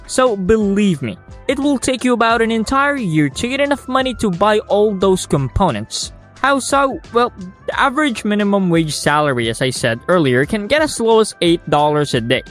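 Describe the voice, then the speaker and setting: A Filipino-accented man talks through a scripted video essay, and his voice sits high and swings widely in pitch as he makes his points. His delivery is blunt and sarcastic, and now and then he throws in a swear. Faint music plays quietly under his voice. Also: lively intonation, moderate pace. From Philippines. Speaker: YouTuber.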